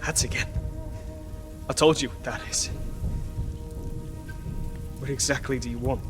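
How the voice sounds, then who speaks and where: Guy acting as anxious young scholar in a fantasy game, slow dramatic talk, music under it. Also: mid-range voice, fairly even intonation, close to an everyday voice. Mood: weary, resigned. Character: young male scholar, anxious and learned, reciting forbidden spells.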